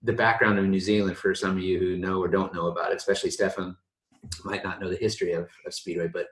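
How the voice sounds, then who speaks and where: A man's voice: low voice, little pitch movement, quick pace. A man hosting a casual podcast chat, rambling with frequent restarts and laid-back slang. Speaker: podcast host. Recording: roomy echo.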